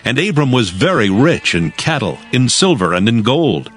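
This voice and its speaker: A man narrating scripture as a scripted dramatized audio reading, in a solemn, measured storytelling manner. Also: mid-range voice, very animated, a bit bright. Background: music.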